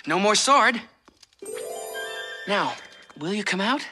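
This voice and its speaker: A man playing a gallant, boyish young fairy prince in an animated fairy tale, courteous, eager and easily flustered. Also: sits high, slow, music in the background. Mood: startled, coaxing.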